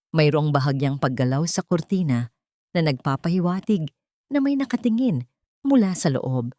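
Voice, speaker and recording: A woman narrating a dramatic story in a solemn, emotive way with measured phrasing. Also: sits low, dark tone, no room sound, clean studio sound.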